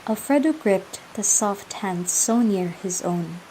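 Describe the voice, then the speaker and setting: A young woman with a Filipino accent reading literary narration for a radio drama in a measured, solemn storytelling manner. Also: medium pitch, animated, mellow, slight background noise, rough recording. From Philippines. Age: young adult.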